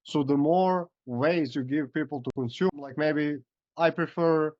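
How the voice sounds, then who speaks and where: A man speaks as an in a casual coaching call, hoarse and running low on voice. His explanations wander with "like" and "uh". The video-call sound is dry and dull. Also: measured pace, no echo. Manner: loose, filler-heavy explaining. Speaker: coach giving feedback.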